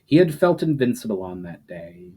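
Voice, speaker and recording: A man reading fantasy fiction aloud as a dramatic, measured narration. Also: lowish voice, sing-song, mellow, slightly rough.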